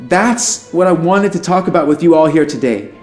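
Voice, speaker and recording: A high male voice in an echoing room with music underneath. A man plays an affable, slightly nervous alien living as a human, making his pitch in an audio drama. He rambles informally, with wry asides and resigned candor. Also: expressive, normal speed, a little mellow, natural voice. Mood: hopeful, earnest. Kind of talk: audio drama monologue in a conversational speech. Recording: roomy echo, music underneath.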